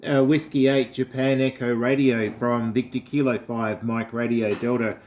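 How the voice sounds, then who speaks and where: Australian man with a mellow, easygoing voice. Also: medium pitch, level tone, normal speed, a little hiss or hum. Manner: friendly, complimentary. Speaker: amateur radio operator. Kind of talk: casual ham radio conversation.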